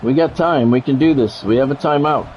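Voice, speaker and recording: A man streaming a football video game, giving excitable play-by-play and bluntly talking at the players in short, urgent, repeated phrases. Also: mid-range voice, a little fast, lo-fi sound, soft background music. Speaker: gaming streamer doing play-by-play.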